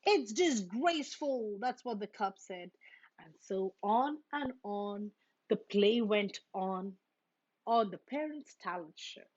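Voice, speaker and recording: A woman's voice: mid-range voice, slow, lo-fi sound. A woman reading a children's story aloud, expressively acting out the characters. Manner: expressive, acts out the characters' lines. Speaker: storybook reader.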